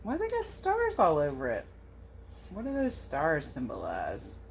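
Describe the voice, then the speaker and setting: A woman teacher reading a picture book aloud to children, expressive and sing-song, with casual questioning asides. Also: low voice, a little slow, slight background noise. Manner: animated, questioning, sing-song verse delivery.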